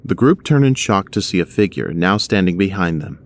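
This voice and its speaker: Deep-voiced male narrator. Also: sing-song, moderate pace. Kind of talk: scripted audio drama narration.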